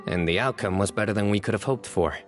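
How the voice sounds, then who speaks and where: A man acts a composed young man of authority in a video game. The voice sits fairly low over soft music. The phrasing is measured, courteous and a little dry. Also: close to an everyday voice. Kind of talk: English video game voice acting.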